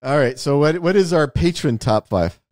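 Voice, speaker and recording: Lively, dry-sounding recording of a wry man. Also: a little high, normal speed, no echo. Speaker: podcast host. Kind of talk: casual film discussion chat.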